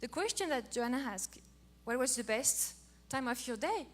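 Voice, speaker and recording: A middle-aged woman from France with a French accent, giving a playful, animated talk on marriage as a co-host. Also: sits high, normal speed. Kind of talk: casual talk to an audience.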